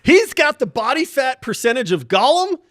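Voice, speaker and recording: A man hosting a casual gaming podcast with excitable, hyped-up banter. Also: very high voice, moderate pace, no echo, studio quality. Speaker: podcast host.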